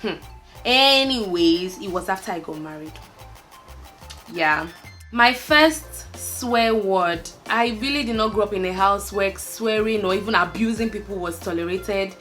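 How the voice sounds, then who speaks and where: A woman's voice: mid-range voice, unhurried, a bit bright, soft background music. A young woman with a Nigerian accent, chatting candidly to camera, laughing and trailing off with fillers. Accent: Nigerian, Lagos. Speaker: vlogger. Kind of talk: casual chat to camera, answering a tag list.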